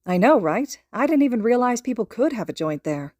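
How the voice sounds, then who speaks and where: A woman voicing a cheerful, anxious young mother in an audiobook story, chatting in a light, animated, gossipy way. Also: a little high, brisk, no echo, clean studio sound, pitched above the performer's own voice. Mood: relieved, chatty.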